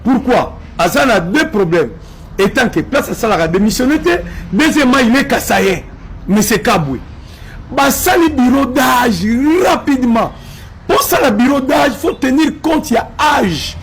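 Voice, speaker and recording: A man's voice: extremely high pitch, lively intonation, moderate pace, a little hiss or hum. A man from Congo with a Congolese French accent giving impassioned political commentary, emphatic and repetitive, slipping in Lingala phrases.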